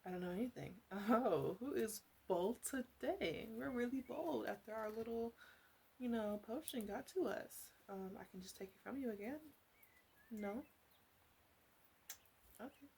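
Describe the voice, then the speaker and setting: A woman playing a flirty, teasing witch in an ASMR roleplay, speaking softly and haltingly with some whispering. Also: a little high, gravelly, a little hiss or hum. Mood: gentle, reassuring, then playful. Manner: soft-spoken, teasing, halting, partly whispered. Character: flirty teasing female witch tending a tipsy guest.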